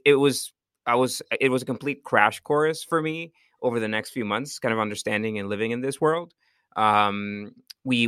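A man's voice: fairly low pitch, sharp tone, clear, resonant tone, no room sound, studio quality. A man from Costa Rica, a startup founder, answering interview questions in a rambling, self-correcting way and laughing often.

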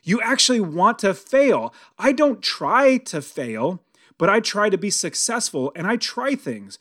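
A slightly high-voiced American man in his early forties talks like a podcast host coaching his listeners. His delivery is conversational and motivational, with emphatic stress and phrases repeated to drive points home. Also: very animated, unhurried, fairly bright tone. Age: early 40s. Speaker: podcast host and real estate investing coach.

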